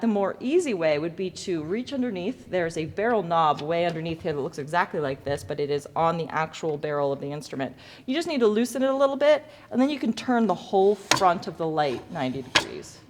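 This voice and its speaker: Female instructor, slightly low voice, informal demo with joking asides, reverberant hall with background noise. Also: big pitch swings, fairly quick. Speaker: lighting design teacher. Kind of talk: informal hands-on demonstration to students.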